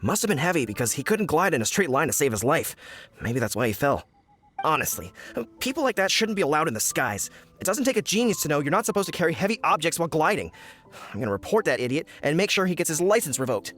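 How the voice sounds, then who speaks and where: A man playing a flustered, indignant village trader in a video game, complaining in an agitated, hurried way. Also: a little high, big pitch swings, a bit bright, faint music behind. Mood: indignant, upset.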